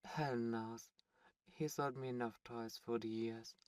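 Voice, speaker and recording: A man playing a smug, teasing demon in an ASMR roleplay, speaking in a taunting, deliberate way with drawn-out pauses. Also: medium pitch, fairly even intonation, clean tone, no room sound, recorded from a distance, natural voice. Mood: smug, menacing. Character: smug teasing male demon buyer at a captive auction. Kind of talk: ASMR roleplay audio drama.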